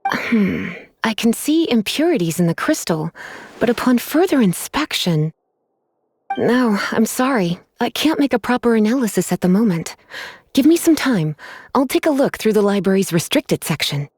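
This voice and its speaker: Lisa, a languid, teasing librarian mage. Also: female voice, a little high, very animated, moderate pace, darkish tone, a little hiss or hum. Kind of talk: game cutscene voice acting.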